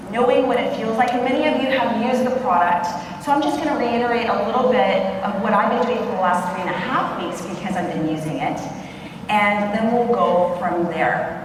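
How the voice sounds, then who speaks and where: Upbeat woman presenting in an echoey hall. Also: fairly high pitch. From Poland, raised in Canada. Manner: upbeat, rallying the crowd with questions. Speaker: convention presenter. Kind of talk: energetic stage presentation. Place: large convention hall. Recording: echoey hall, distant mic, crowd noise.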